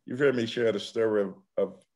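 A man's voice: lowish voice, steady tone, brisk, darkish tone, lo-fi sound. A man in his sixties with a Southern US accent, a pastor preaching a sermon in rhythmic, repeated refrains. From Tennessee, United States. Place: room at home. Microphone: computer mic on video call.

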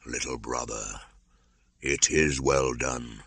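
Man as a deep-voiced, affectionate panther. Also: steady tone, slow, fairly bright tone, dry recording, heavily acted. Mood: quiet affection.

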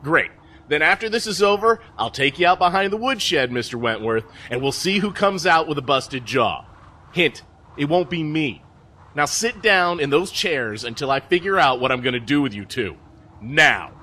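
A man's voice: fairly high pitch, expressive, normal speed, sharp tone. A man playing a stern, seasoned spaceship captain in an audio drama, mocking and folksy in his commands. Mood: stern, threatening.

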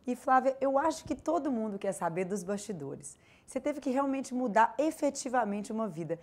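A woman's voice: fairly high pitch, lively intonation, moderate pace. A young woman hosting a TV interview program, asking upbeat leading questions into a handheld mic. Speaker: host. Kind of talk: TV interview and presenting.

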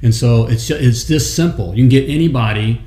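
Middle-aged man, mellow tone, folksy coaching talk, roomy studio sound. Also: mid-range voice, brisk. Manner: folksy, repetitive, keeps asking "okay?". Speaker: host, plumbing business coach. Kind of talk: casual studio talk show advice. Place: studio set with city backdrop. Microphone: desk microphone.

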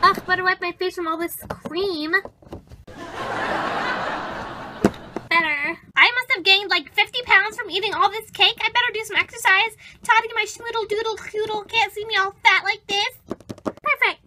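Woman voicing a very high-pitched bossy poodle diva. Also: fairly even intonation, normal speed. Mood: fussy, straining. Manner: dramatic, sassy, exaggerated. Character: bossy, vain, sarcastic young female poodle diva. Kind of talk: hobby cartoon skit acting. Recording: clearly noisy home recording with clicks.

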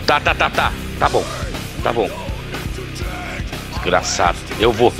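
A man's voice: medium pitch, expressive, slow pace, vocal fry, over music. An actor playing a young man, a defiant and distraught son in an audio drama, pleading and accusing.

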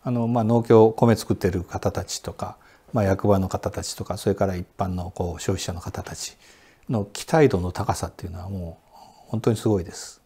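A man in his sixties speaks in a low, dark and somewhat husky voice. He explains at an easy pace and pauses on fillers as he answers interview questions. He is recorded seated indoors in a quiet room. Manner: relaxed and explanatory, many fillers. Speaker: sake brewery director. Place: indoor Japanese room with sliding doors.

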